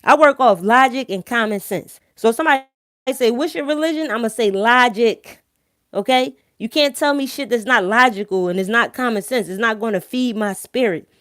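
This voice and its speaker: A woman's voice: fairly high pitch, normal speed, fairly bright tone, dry recording, clean studio sound. A woman with a thick Philadelphia accent talks informally to her live-stream viewers, blunt and emphatic, slangy, swearing freely and checking that they follow. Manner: repeatedly checks listeners follow with tag questions. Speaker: online live-stream host. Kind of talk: informal opinionated talk to viewers.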